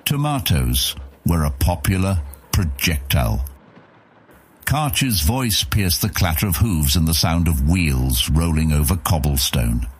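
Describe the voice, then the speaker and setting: A man narrating a fantasy audiobook in a measured storytelling manner. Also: extremely low pitch, lively intonation, husky.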